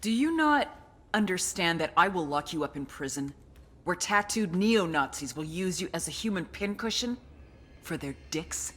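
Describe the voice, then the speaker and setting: An actress voicing a cynical, tough intelligence agent, narrating video game cutscenes in a blunt, sardonic way. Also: female voice, lowish voice, big pitch swings, moderate pace, fairly bright tone, slightly rough, full character voice. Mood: threatening. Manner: sardonic, blunt, foul-mouthed. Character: cynical, tough female intelligence agent briefing on cartel targets.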